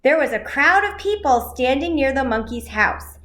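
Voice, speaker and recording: A woman reading a children's storybook aloud in simple, deliberate phrases, as an educator addressing young listeners. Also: high-pitched, animated, a bit bright, roomy sound.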